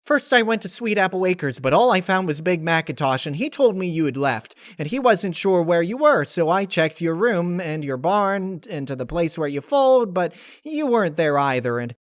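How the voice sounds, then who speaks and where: A man's voice: high voice, very animated, normal speed, hardly any pauses, no echo, clean studio sound, pitched above the performer's own voice. A man voicing a gleeful, chatty young female cartoon pony who rambles breathlessly in a storybook reading. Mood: excited rambling.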